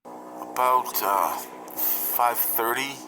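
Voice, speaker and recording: An actor playing a lost, inexperienced private pilot, anxious and hesitant, in a radio drama with noise behind him. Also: male voice, mid-range voice, animated, drawn-out words, bright, forceful tone, exaggerated voice. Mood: sheepish, unsure. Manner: hesitant, stammering. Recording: noisy radio transmission with engine drone.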